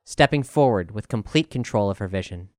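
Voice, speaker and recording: A man's voice: fairly low pitch, animated, a little fast, darkish tone, no room sound, clean studio sound. A man reading a scripted album review as narration.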